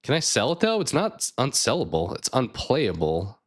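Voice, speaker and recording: A man streaming a card game live, thinking aloud and chatting with viewers, his voice a bit raspy. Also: mid-range voice, wildly swooping pitch, moderate pace. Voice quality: slightly raspy. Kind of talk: casual live gameplay chat.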